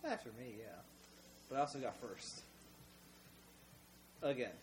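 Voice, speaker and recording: A gamer teasing friends in a dry, unhurried way. The pitch moves a lot between lines. Faint noise sits behind the voice. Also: expressive, darkish tone. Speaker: player. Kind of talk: casual gaming chat.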